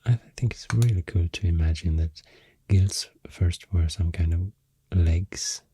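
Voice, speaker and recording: A man with a Swedish accent softly rambling through an ASMR explanation, breathy and often near a whisper, soothing and hesitant in manner. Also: low voice, a little slow. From Sweden. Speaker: ASMR host.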